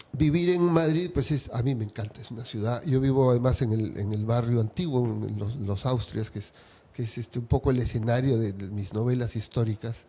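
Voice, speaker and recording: A man from Peru, a writer, answering interview questions in a reflective, unhurried way. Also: medium pitch, mellow, slight background noise. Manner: reflective, unhurried, with small restarts.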